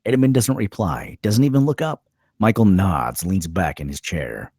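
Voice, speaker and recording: A man reading stage directions as a narrator at a script table read, in a terse, measured dramatic style. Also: sits low, mellow, breathy edge, no echo, studio quality.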